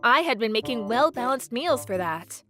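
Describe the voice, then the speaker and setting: A woman narrating a first-person manga dub story in an expressive, theatrical way. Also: a little high, moderate pace, over music. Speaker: narrator and voice actor.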